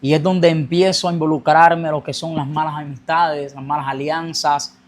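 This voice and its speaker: A man's voice: fairly high pitch, fairly even intonation, moderate pace. A young man telling his personal faith testimony as a guest, earnest, speeding up as he recounts his past. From Colombia.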